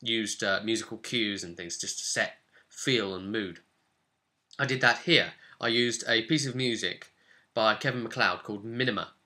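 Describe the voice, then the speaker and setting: Bright-voiced man recapping a game. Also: on the low side, normal speed. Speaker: game master recapping his own tabletop campaign. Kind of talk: casual vlog narration of a game session.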